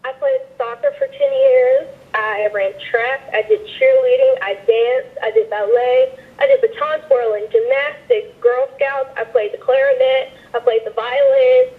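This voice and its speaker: A woman in her twenties from Georgia talks over a tinny phone line. Her voice sits fairly high, and she chats loosely, leaning on "like" and at times sounding moved. It suits a casual interview guest. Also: big pitch swings, unhurried, hardly any pauses. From Georgia, USA. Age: 20s. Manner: chatty, heavy on "like", emotional at times. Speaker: interview guest, pro wrestler.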